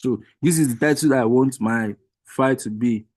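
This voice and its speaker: A man's voice: mid-range voice, normal speed, a little mellow, no room sound, studio quality. A man whose first language is Igbo, explaining a screen-share tutorial step by step to a webinar group, patiently and with frequent restarts. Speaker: workshop trainer.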